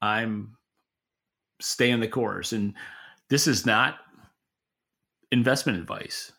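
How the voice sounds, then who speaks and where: A man's voice: lowish voice, slow, creaky, sharp tone. A middle-aged American man co-hosting a podcast, speaking casually and off the cuff in a rambling, stop-start, plain-spoken way. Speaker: podcast co-host. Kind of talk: casual unscripted market talk.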